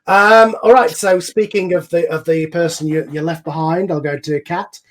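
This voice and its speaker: A man with a fairly high voice hosts a relaxed podcast conversation on an online call. He talks in a loose, rambling way, like a creator chatting with colleagues. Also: moderate pace. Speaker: host and series creator. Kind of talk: casual podcast chat. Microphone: online group voice call.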